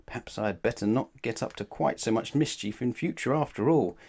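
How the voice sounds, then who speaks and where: A man's voice: medium pitch, fairly quick, gravelly, a little mellow, slightly rough. A man voicing a small, mischievous male prankster from a children's storybook, in an exclamatory manner. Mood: rueful.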